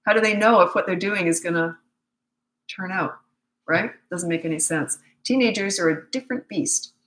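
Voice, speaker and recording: Woman in her 60s with a cold, voice going, lowish pitch, roomy echo, blunt parenting coach talk. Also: moderate pace, fairly bright tone. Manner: blunt, matter-of-fact advice with frequent rhetorical questions. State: has a cold, voice giving out. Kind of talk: live-stream Q&A.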